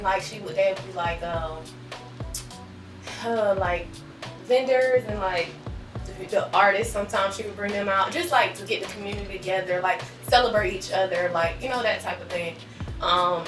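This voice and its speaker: A woman's voice: mid-range voice, echoey room, distant mic. A woman in her thirties talking casually in an interview, rambling with many likes and ums. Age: 30s. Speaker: guest.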